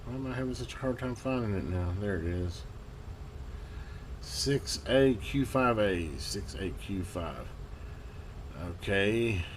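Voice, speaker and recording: A man giving casual hands-on commentary as a hobbyist host, halting and muttering to himself as he works. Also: fairly low pitch, lively intonation, a little hiss or hum. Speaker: vintage radio hobbyist hosting a repair video.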